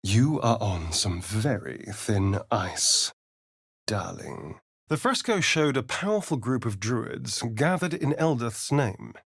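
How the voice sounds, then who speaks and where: A man's voice: mid-range voice, animated, takes it slowly, gravelly, slightly rough, no echo, clean studio sound, exaggerated voice. An actor voicing a sly, vain, sardonic male vampire spawn in a video game, with an arch, theatrical drawl. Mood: arch, teasing.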